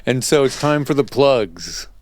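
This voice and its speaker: A man's voice: medium pitch, expressive, unhurried. A man hosting a casual interview, chatting in an easygoing, joking way. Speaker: interview host and audio consultant.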